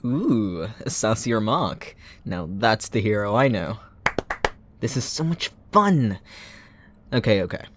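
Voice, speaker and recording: Supervillain for roleplay audio drama, male actor: low voice, unhurried delivery, theatrical, sarcastic, toying playfully with a captured hero. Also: sing-song, noisy background. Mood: teasing delight. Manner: mocking, playful, taunting, chuckling.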